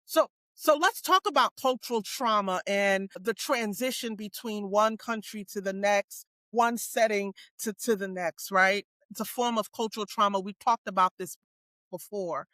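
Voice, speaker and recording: Woman host, bright forceful voice, emphatic, dry close sound. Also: mid-range voice, normal speed, no room sound. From United States. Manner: emphatic, keeps asking "right?". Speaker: podcast host. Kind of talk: casual faith-based discussion.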